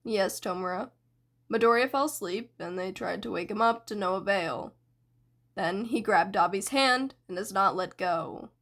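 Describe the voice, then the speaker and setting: A woman voicing a calm, polite male bartender villain who speaks formally. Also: mid-range voice, moderate pace.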